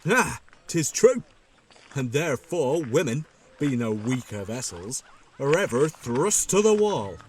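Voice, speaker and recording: An actor playing a cocky, bawdy young servant in a Shakespearean audio play, with a boastful swagger. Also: male voice, medium pitch, sing-song, measured pace.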